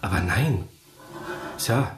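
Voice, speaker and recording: A man's voice: low-pitched, lively intonation, slow pace, soft background music. Hesitant, sheepish young man. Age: young adult. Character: awkward, sheepish twenty-year-old lad, naive and evasive. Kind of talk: German radio drama acting.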